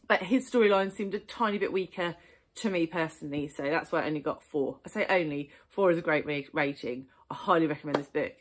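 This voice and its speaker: A woman, chatting enthusiastically through her monthly book reviews with frequent ums and small laughs. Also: lowish voice. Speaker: book vlogger. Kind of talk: casual book review monologue.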